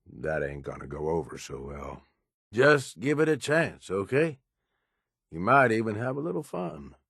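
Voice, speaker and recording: An actor voicing a gruff, streetwise raider adviser in a video game, with a husky voice and a blunt, coaxing, profane way of talking. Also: male voice, fairly low pitch, very animated, a little slow, fairly bright tone, no room sound, clean studio sound, full character voice. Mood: pressing, persuasive. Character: gruff, streetwise male raider right-hand man, pragmatic schemer. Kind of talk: video game character dialogue.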